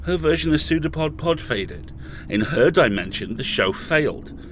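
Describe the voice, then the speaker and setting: A man's voice: on the high side, lively intonation, normal speed, fairly bright tone, slight background noise. A man playing a bitter, furious captive freed after years, speaking with dramatic emphasis in an audio horror drama. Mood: bitter, angry.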